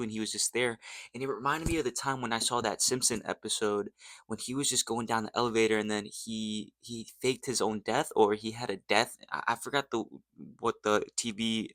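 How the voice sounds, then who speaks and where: A man's voice: on the low side, pushed and bright, no room sound. A young American man giving casual vlog commentary in an earnest, rambling, slangy way. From United States. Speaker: YouTube host.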